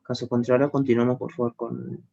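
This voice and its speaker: A man's voice: medium pitch, flat delivery, fairly quick, creaky, dark tone, slight background noise. A man politely moderating an online event over a call. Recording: dull, dry online call audio.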